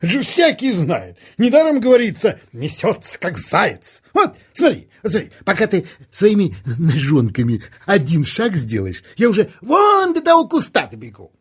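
A woman's voice: medium pitch, wildly swooping pitch, normal speed, hardly any pauses, breathy edge, heavily acted. A woman voicing a boastful, teasing young male hare in a children's audio play, with a giggly, chattering manner. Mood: boastful, excited.

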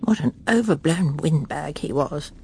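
A woman's voice: low voice, animated, a little slow, creaky, darkish tone, slight background noise, exaggerated voice. An actress in a radio drama playing a proper, judgmental English wife and mother with a clipped, haughty manner. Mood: haughty, disapproving. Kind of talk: BBC radio drama acting.

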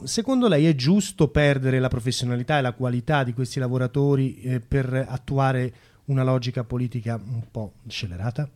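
A man's voice: medium pitch, a little mellow. A man interviewing on radio in a semi-improvised satirical style, politely mock-formal, with a hesitant, searching delivery.

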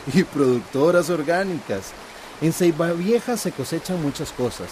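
A man's voice: on the high side, animated, slow pace, background noise. An actor playing an earnest, humble young village man in a radio soap, with a Costa Rican accent. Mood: hopeful. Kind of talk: radio soap opera acting.

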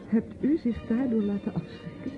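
An actress playing a reticent, troubled young niece in a radio play, speaking in a hesitant, terse and evasive way. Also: female voice, fairly high pitch, moderate pace, over music, a crowd in the background, lightly acted. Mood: reluctant, evasive. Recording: dull lo-fi radio recording.

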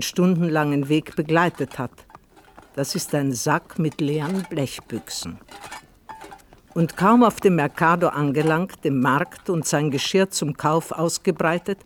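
A man narrating a radio play as a scripted story, with measured, deliberate phrasing. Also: on the high side. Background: plucked guitar music.

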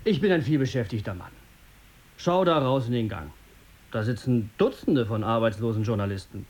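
An actor playing a condescending, self-important middle-aged radio editor in a German radio drama, pompous, impatient and sarcastic. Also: male voice, mid-range voice, darkish tone, natural voice. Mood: impatient, lecturing.

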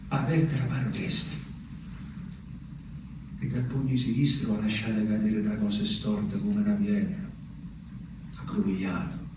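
An actor playing a naive, lonely, bewildered country wanderer in a theatrical radio monologue, childlike, rambling and repetitive. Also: male voice, medium pitch, lightly acted. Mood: hushed, earnest. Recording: very echoey, distant, noisy ambience.

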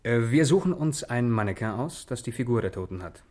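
An earnest police detective of medium pitch, played by a man in a radio play. He is deferential and slightly hesitant, yet eager to put his ideas forward. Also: animated, brisk, a little mellow, natural voice.

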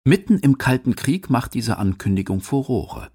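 A man's voice: medium pitch, very animated, normal speed, a little mellow, breathy edge. A man reading scripted narration for a radio documentary drama.

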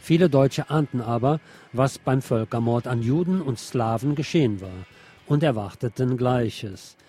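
Male presenter for scripted news commentary, dark mellow tone, slightly husky, faint music. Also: mid-range voice, a little slow. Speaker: radio magazine presenter.

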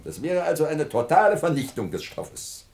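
Pedantic professor with animated intonation. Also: male voice, fairly high pitch, normal speed. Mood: sarcastic. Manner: pedantic, ironic. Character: skeptical, condescending male science professor. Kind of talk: German science-fiction radio play acting.

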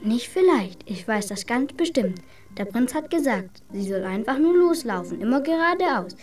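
A woman's voice: fairly high pitch, very animated, normal speed, darkish tone, distant mic. A woman playing a stubborn, earnest little brother in a German radio drama. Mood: stubborn, insistent.

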